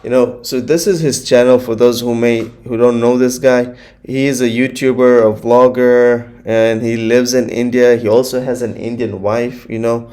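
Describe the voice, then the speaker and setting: Man, first language Gujarati, relaxed reaction-video host, middle pitch, picky and chatty. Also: moderate pace. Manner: chatty, nitpicking, many fillers. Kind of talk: casual reaction commentary.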